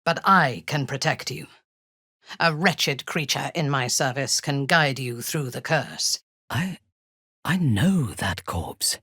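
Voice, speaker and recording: A woman playing a proud, ruthless dark elf commander in a video game, speaking formally and haughtily in a breathy, husky voice. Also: rumbling low, animated, no echo, studio quality, heavily acted. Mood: measured. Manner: measured, haughty, formal diction. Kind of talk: video game character dialogue.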